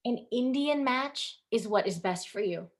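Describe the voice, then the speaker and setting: A woman's voice: fairly high pitch, animated. A woman playing a stern, traditional middle-aged Indian mother in a staged reading, speaking firmly and instructively. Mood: stern, disapproving. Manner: firm, halting, instructive. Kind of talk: staged reading over video call.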